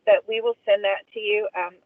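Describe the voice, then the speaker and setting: A woman's voice: medium pitch, little pitch movement, a little fast, no echo. A woman calling in from a car, giving informal advice on a conference call over the phone. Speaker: regional council staffer on call. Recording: dry, band-limited phone line.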